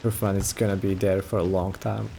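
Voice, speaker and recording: Mellow-voiced male game streamer. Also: deep voice, little pitch movement, brisk, vocal fry, slight background noise. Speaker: let's play streamer. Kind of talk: casual game commentary. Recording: game music faint behind.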